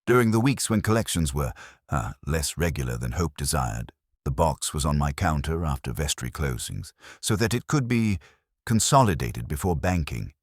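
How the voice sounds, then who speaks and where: A man's voice: very deep voice, lively intonation, moderate pace, no echo, clean studio sound. A man voicing a prim, embarrassed committee official in a mystery story, fussy and hesitant. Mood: defensive.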